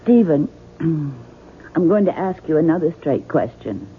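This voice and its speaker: An actress playing an earnest, anxious young American wife in a radio drama, direct and probing in manner. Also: female voice, sits low, lively intonation, a little slow, breathy edge, heavily acted. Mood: tentative, probing. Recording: muffled, noisy 1970s radio broadcast.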